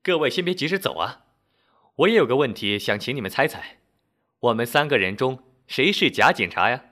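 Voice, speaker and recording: Man playing a brash young joker, animated intonation. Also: medium pitch, brisk. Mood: breezy, bantering. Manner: breezy, challenging. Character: tall young man, brash joking actor posing as policeman.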